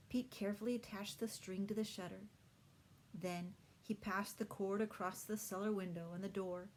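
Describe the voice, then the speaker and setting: A woman's voice: on the low side, darkish tone, a little hiss or hum, rough recording. A woman reading a children's storybook aloud expressively, as a teacher does for her class.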